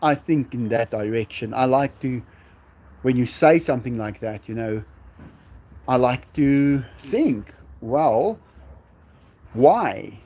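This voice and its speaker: A man's voice: mid-range voice, lively intonation, warm tone. A man with a South African Afrikaans accent, a native Afrikaans speaker, chatting casually on a live stream outdoors, musing as he answers viewers. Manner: musing, unhurried, reads viewer questions aloud and chuckles. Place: outdoors at sunset.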